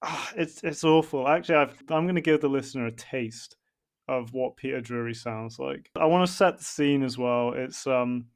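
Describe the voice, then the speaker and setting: A man's voice: mid-range voice, normal speed. A man hosting a podcast in casual chat, ranting comically in a sweary, exasperated way. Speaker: podcast host.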